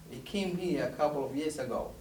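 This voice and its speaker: A man's voice: on the high side, brisk, a little mellow. Talkative man explaining. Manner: talkative, explains by comparisons, repeats words. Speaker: mosque community member. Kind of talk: casual interview explanation. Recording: roomy, distant mic, faint noise.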